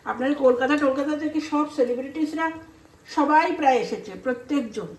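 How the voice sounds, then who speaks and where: A woman's voice: sits high, moderate pace. A middle-aged woman with an Indian Bengali accent, telling casual, rambling stories as she cooks at home and acting out remembered conversations. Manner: chatty, rambling, acts out remembered dialogue. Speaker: home cooking vlogger. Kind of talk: casual storytelling while cooking. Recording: roomy echo, some background noise.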